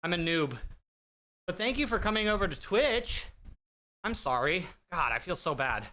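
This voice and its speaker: A man hosting a live stream, chatting casually with viewers in a bright, higher-pitched voice, rambling and self-deprecating with lots of fillers. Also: very animated, moderate pace. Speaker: livestream host. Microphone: desk streaming mic through an audio mixer.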